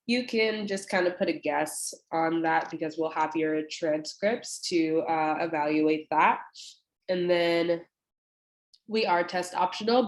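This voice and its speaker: A woman's voice: fairly low pitch, echoey room, poor audio. A young woman, an admissions representative with a stuffy, congested nose, casually walks viewers through an online application with lots of fillers. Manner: casual and friendly, many fillers. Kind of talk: walking through an online application on a screen share. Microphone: computer mic on a video call.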